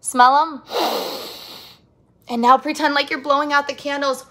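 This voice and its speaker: Bright-voiced woman reading aloud to children. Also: sits high, little pitch movement, unhurried. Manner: encouraging, addresses young viewers directly with questions. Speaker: children's read-aloud host and teacher. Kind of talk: picture-book read-aloud and talk to children.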